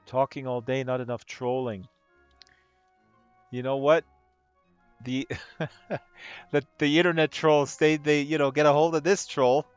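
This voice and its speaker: Hoarse man rambling excitedly, dull lo-fi audio. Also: mid-range voice, unhurried, pauses often, no echo. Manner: excitable, rambling, gushing with amazement. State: hoarse from talking all day. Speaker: live streamer, virtual world tour guide. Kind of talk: casual live-stream commentary. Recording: dull lo-fi stream audio with music underneath. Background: music playing.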